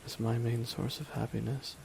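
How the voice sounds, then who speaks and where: A man playing a grieving, resigned supervillain in a dramatic ASMR roleplay, speaking a slow, deliberate, solemn monologue with long pauses. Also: medium pitch, flat delivery, gravelly, noisy background, close to an everyday voice. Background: rain falling.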